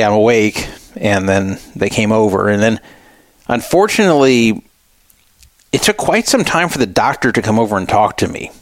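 A man's voice: lowish voice, no room sound. Middle-aged American man, casual dry-room talk. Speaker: radio show host. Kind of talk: casual personal storytelling.

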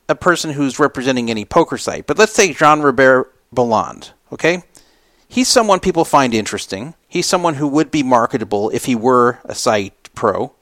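A man's voice: mid-range voice, a bit bright, no room sound, clean studio sound. A man hosting a casual talk show, giving rambling, opinionated commentary and repeating himself for emphasis. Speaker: podcast host.

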